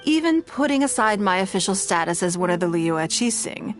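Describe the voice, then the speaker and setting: Woman playing elegant game noblewoman, mid-pitched voice, composed, formal, shrewd, faint music behind. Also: big pitch swings, a little fast, close to an everyday voice. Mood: composed, amused. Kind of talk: video game voice acting.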